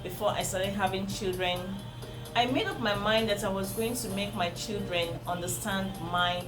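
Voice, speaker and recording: A woman's voice: mid-range voice, fairly even intonation, moderate pace, low-quality recording. Earnest Nigerian woman over music. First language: Igbo. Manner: earnest and instructive, repeats points for emphasis. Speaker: parenting vlogger. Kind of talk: advice talk to camera. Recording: music playing under the voice.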